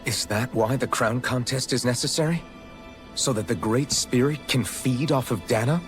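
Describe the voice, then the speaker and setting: A man's voice: mid-range voice, moderate pace, faint music behind, close to an everyday voice. A man playing an earnest, resolute young hero in a video game cutscene, speaking seriously. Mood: grave.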